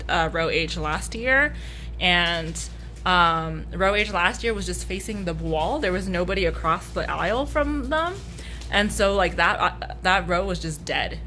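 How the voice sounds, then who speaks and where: Young woman artist, tired, slightly hoarse, rambly and casual, laughs between thoughts. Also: fairly low pitch, animated, unhurried, pushed and bright, soft background music. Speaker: artist vlogger. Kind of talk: casual vlog chat. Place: hotel room and convention hall.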